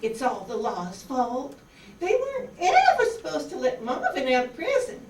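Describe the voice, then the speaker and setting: A ditzy, scheming Southern belle for radio, extremely high and swooping. She is coy and breezy and draws her words out slowly, in an echoey room. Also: huge pitch swings, exaggerated voice. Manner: coy, breezy, sing-song. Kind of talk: 1950s radio noir acting on stage. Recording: echoey room, distant mic, background noise.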